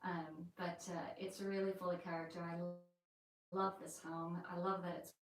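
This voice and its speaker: A woman chats loosely on a live stream, her American accent tinged with Yorkshire. Her voice sits a bit low and comes from some distance from the mic. The room around her rings with a heavy echo. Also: flat delivery, measured pace. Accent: American mixed with light Yorkshire. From England and the United States. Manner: chatty and rambling, with many fillers. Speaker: vlogger hosting a live stream. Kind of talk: casual live-stream chat. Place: indoors in an old house.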